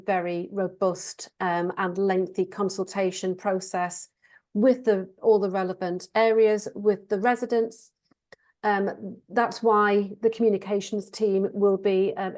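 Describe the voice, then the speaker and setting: A woman with a croaky voice, a council officer politely explaining procedures at a committee meeting. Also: medium pitch, a little mellow.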